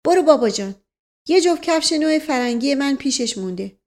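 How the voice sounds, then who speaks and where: A woman's voice: high voice, lively intonation, pitched above the performer's own voice. Storybook uncle, female reader acting: older man, imperious and agitated, grave dramatic delivery full of command. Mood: anxious, impatient.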